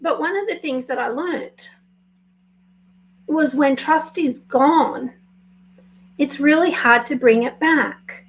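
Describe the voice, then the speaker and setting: Slow husky-voiced woman coach. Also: high-pitched, a little hiss or hum, low-quality recording. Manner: slow, pausing, careful with words, apologetic. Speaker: life coach. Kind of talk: spontaneous live video talk.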